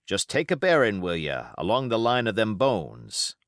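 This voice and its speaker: A man's voice: on the low side, sing-song, normal speed, a bit bright, no echo, clean studio sound. A man voicing a cunning, commanding pirate cook with folksy seafaring dialect and emphatic oaths, in an audiobook. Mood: musing, uneasy. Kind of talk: audiobook character voice.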